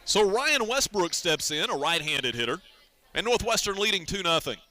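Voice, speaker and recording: Male radio baseball announcer, middle-aged, fairly high and very bright, punchy voice. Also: very animated, moderate pace. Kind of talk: live radio sports commentary.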